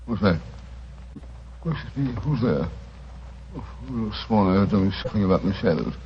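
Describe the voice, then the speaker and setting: An old, dull radio recording of a man playing a nervous British gentleman. He mumbles and stammers in a fairly low voice, timid and bumbling. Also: lively intonation, normal speed, slight background noise, close to an everyday voice. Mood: nervous, flustered. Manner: mumbling, stammering, repeats himself. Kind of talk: 1940s radio acting.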